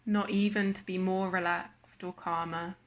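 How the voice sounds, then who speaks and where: Woman guiding meditation in an even tone. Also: fairly low pitch. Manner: slow, measured, with long pauses. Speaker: meditation guide. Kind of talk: guided body scan meditation narration.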